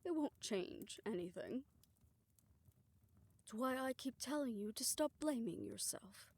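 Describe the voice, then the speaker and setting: Actress as gentle, composed, forgiving woman, higher voice. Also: animated, unhurried, husky, exaggerated voice. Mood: calm, reassuring. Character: gentle, forgiving woman, once experimented on, now at peace. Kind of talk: soft, intimate audio roleplay acting.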